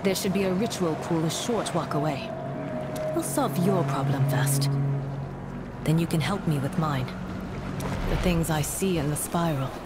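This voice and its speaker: An actress playing a dutiful, weary seafaring woman guide in a video game, measured and earnest, over music. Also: low voice, very noisy. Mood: earnest, resolute. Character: dutiful, weary adult woman guide and spirit-rite sailor. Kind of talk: video game character acting. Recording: loud game music underneath.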